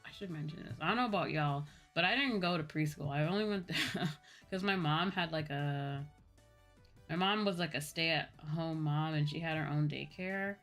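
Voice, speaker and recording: A woman's voice: low-pitched, moderate pace, a bit bright. A young American woman livestreamer chatting casually with viewers in a rambling, informal way, often correcting herself. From United States. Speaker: livestreamer, virtual YouTuber. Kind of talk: casual chat with live viewers. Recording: music playing behind the voice. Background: background music.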